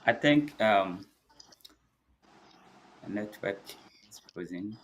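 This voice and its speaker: A man's voice: fairly low pitch, lively intonation, slow pace. A man hosting a webinar over a video call, speaking hesitantly with fillers. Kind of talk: casual chat.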